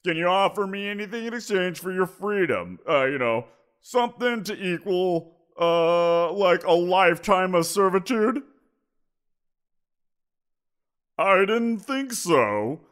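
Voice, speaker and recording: A man's voice: sits high, animated, a bit bright. A man playing a smug teasing giant creature. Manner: playful, teasing, drawn-out. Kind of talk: audio roleplay.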